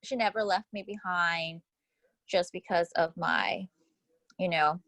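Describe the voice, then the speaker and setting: Earnest young woman, low-pitched and dry. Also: steady tone, unhurried, fairly bright tone, no echo. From Houston, Texas. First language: Spanish. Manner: earnest, reflective, with small restarts. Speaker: interviewee. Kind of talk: casual interview answers.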